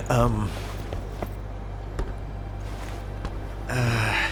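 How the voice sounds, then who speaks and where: An actor playing a gentle, loyal soldier in a video game, hesitant and earnest in his delivery. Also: medium pitch, steady tone, very slow, over music. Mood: shy, hesitant. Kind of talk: dramatic video game acting.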